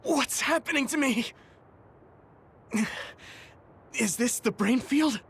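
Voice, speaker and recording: A man's voice: extremely high pitch, animated, normal speed, a bit bright, slight background noise, heavily acted. An actor playing an earnest, desperate young male soldier in a video game, speaking in an emotional, exclamatory way. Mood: shocked, confused.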